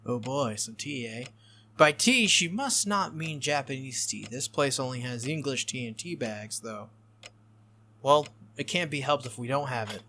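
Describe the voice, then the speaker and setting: A man's voice: mid-range voice, animated, a little slow, sharp tone, a little hiss or hum. A man reading a visual novel aloud as a playthrough host, with casual asides and occasional stumbles that he corrects. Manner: stumbles over lines and corrects himself.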